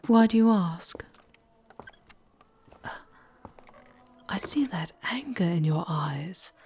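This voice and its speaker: A woman acts out an alluring female demon guide for an ASMR roleplay. Her voice is fairly low and husky with breath, often close to a whisper. She takes her time over formal, storybook phrases, affectionate and teasing toward the listener. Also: darkish tone. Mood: concerned. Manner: slow, formal, old-fashioned storybook diction.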